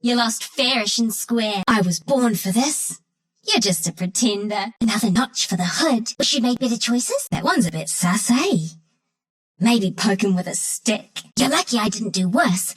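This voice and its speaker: Mischievous small furry game critter, highish bouncy voice, cocky slangy sharpshooter. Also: female voice, lively intonation, normal speed, husky. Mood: cocky taunting. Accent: Australian. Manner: cheeky, breezy, slangy. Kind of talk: video game character voice lines.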